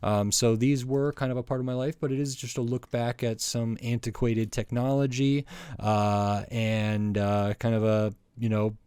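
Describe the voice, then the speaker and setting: A middle-aged man giving a casual hands-on review, speaking in a halting, hesitant way with frequent pauses. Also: medium pitch, steady tone, darkish tone, clean tone, no room sound. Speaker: toy review channel host.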